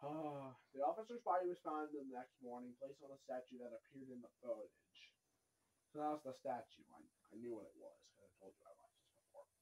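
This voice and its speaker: An excitable reaction host who reads on-screen text aloud and comments on it, often exclaiming and repeating phrases. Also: mid-range voice, a little fast, poor audio. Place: small dark room at home, indoors.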